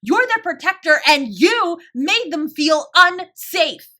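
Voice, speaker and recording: This is a woman acting as a protective older sibling in an audio roleplay drama. The voice is high for a woman, with a bright, forceful edge. The delivery is emphatic and scolding, with sarcasm aimed at a parent who has let the family down. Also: very animated, slow, full character voice. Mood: angry, indignant. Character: fiercely protective older sibling confronting their father.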